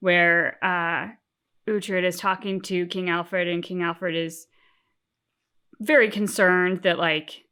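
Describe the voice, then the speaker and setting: A woman co-hosting a reaction show, talking casually and thoughtfully, with deliberate, emphatic phrasing. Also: fairly low pitch, fairly even intonation, bright, forceful tone, clear, resonant tone. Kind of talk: casual reflective discussion.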